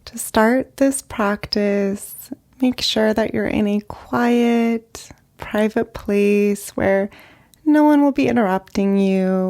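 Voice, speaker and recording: A woman leading a guided meditation as a therapist, speaking gently in short phrases with long pauses. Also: mid-range voice, creaky. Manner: slow, gentle, pausing between short phrases.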